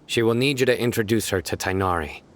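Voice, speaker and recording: An actor playing a stern, authoritative general in a video game, speaking in curt, measured phrases. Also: male voice, on the low side, heavy background noise, close to an everyday voice. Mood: calm, serious. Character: stern, commanding young male law-enforcement general.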